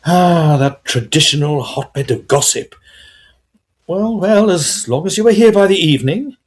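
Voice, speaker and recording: A man playing an earnest gentleman ghost hunter who narrates a period Gothic audio drama in formal, old-fashioned phrases. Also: a little high, expressive, a little slow. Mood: wry, curious.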